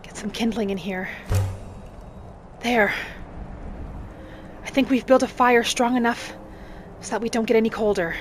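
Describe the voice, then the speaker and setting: A woman voicing a gentle, adventurous female wood elf traveller in a video game roleplay, musing softly aloud in character over music. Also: a little high, measured pace, pauses often, lightly acted. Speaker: video game streamer role-playing.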